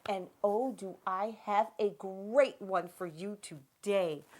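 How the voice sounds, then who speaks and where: A woman's voice: medium pitch, slow pace. A woman hosting a children's read-aloud show, talking straight to young viewers in a sing-song manner.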